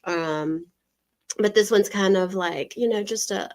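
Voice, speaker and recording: A woman with a stuffy, congested voice chats casually on a live stream, rambling along with plenty of ums and likes. Her pitch sits in the middle of the range, and she talks in a loose, conversational way. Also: a little slow, darkish tone. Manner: chatty, rambling, frequent fillers. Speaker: yarn business co-host.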